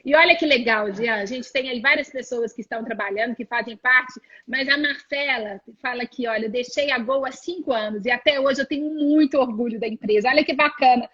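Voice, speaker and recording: A woman's voice: fairly high pitch, fairly quick, hardly any pauses, a bit bright. A woman with a Brazilian Portuguese accent hosting a live video interview, speaking in an enthusiastic and effusive way. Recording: lo-fi video call audio.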